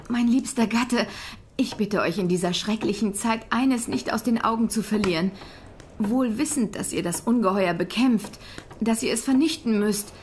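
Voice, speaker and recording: An actress playing a compassionate young wife with quiet resolve, speaking solemnly and formally in a German audio drama. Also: female voice, medium pitch, slight background noise, lightly acted.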